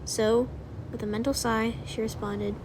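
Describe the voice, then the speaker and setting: A woman's voice: on the high side, level tone, measured pace, a little mellow, a little hiss or hum, low-quality recording. A woman narrating a story from a script, as in an audiobook of fan fiction.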